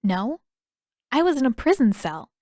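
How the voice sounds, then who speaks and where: Woman voicing an exasperated teenage girl, high and emphatic. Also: very animated, moderate pace, a little mellow, dry recording, studio quality. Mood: indignant, insistent. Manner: indignant, questioning, emphatic. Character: teenage girl, caring but exasperated and bossy.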